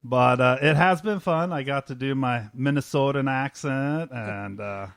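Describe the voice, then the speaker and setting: A man's voice: mid-range voice, unhurried, gravelly, a bit bright, clean tone, dry recording, studio quality. A man talking casually as an interview guest, in a hesitant, self-deprecating way. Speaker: voice actor guest on a cast interview. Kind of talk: casual unscripted interview talk.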